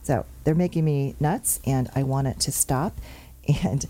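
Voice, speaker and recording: A woman hosting a talk show, briskly and cheerfully running through market numbers before interviewing a guest. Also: extremely low pitch, mellow. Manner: brisk, upbeat, reels off numbers. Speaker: show host and real estate agent. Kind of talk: chatty show monologue on market figures.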